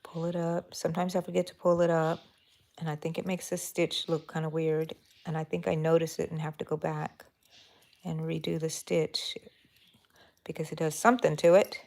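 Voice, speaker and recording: A woman's voice: low voice, little pitch movement, moderate pace, vocal fry, darkish tone. A woman from Texas hosting a casual live crochet stream from her bedroom, chatty and thinking aloud as she works and talking to her yarn. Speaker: crochet channel host. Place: bedroom at home.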